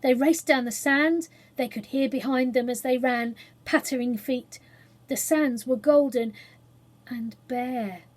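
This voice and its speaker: Woman, children's storyteller, expressive narration, high-pitched, slightly husky. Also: unhurried.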